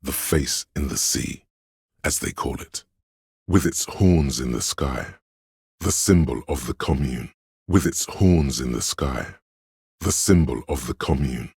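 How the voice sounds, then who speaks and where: A man voicing an encyclopedic inner voice in a video game, learned and solemn, narrating in a measured, erudite way. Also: extremely low pitch, expressive, darkish tone, husky, dry recording, studio quality, exaggerated voice. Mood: measured, informative. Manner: measured, erudite, declarative delivery.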